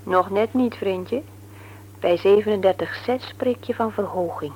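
An actress in a Dutch radio play, playing a brisk, teasing, no-nonsense nurse married to a man she likes to mock. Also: medium pitch, darkish tone, breathy edge. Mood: dryly mocking. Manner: brisk, mocking, matter-of-fact. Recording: noisy old radio recording with hum.

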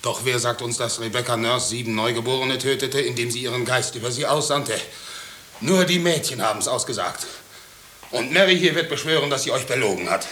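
An actor playing an upright, anguished farmer in a 1950s radio drama, earnest, respectful and insistent. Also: male voice, mid-range voice, a little fast, pushed and bright, lightly acted. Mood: urgent, earnest. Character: upright, anguished farmer pleading for his wife. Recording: hissy old radio drama recording.

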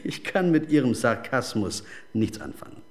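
An actor playing a smug, evasive music manager in a radio crime drama, coldly businesslike, formal and condescending. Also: male voice, medium pitch, very animated, moderate pace, lightly acted. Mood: condescending, cool.

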